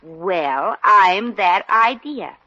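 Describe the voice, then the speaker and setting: Bright, brassy Brooklyn working girl. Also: mid-range voice, animated, very slow, heavily acted. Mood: eager, scheming. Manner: wisecracking, slangy. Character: brassy, scheming young Brooklyn secretary, loyal girlfriend. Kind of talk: 1940s radio comedy acting. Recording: muffled, roomy old radio recording.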